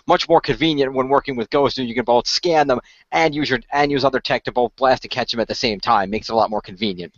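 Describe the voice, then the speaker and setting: A man's voice: mid-range voice, fairly bright tone, dry recording, highs cut off, natural voice. A man playing an earnest, diplomatic ghost-hunting inventor in an improvised role-play, explaining things in a hesitant, conciliatory way.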